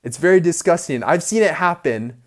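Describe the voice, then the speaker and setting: A man's voice: on the high side, expressive, moderate pace. Young man with a nasal voice. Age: young adult. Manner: upbeat, earnest, talks to camera. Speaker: vlogger. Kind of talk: casual self-improvement vlog. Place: small indoor room by a door. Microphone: earphone headset mic.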